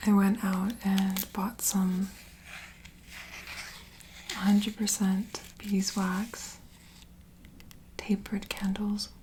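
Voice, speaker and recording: A woman softly whispering in a soothing, reassuring, unhurried way, as an ASMR host in a gentle comforting roleplay. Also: medium pitch, level tone, a little hiss or hum. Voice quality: soft breathy whisper.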